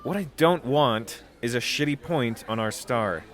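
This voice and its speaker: A man's voice: mid-range voice, lively intonation, measured pace, close to an everyday voice. A man playing a nervous, reluctant young alien team leader, hesitant and long-suffering, in a comedic audio drama. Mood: dejected.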